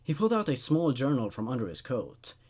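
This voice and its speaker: A man's voice: mid-range voice, big pitch swings, fairly quick, a little mellow, breathy edge. A man narrating a horror story in a dramatic, scripted style. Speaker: narrator, show host.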